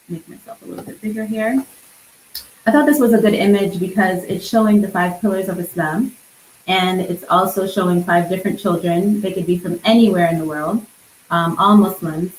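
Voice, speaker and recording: A woman casually hosting a livestream and interviewing, with hesitant, informal phrasing. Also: mid-range voice. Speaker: event host and interviewer. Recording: roomy echo, faint background noise.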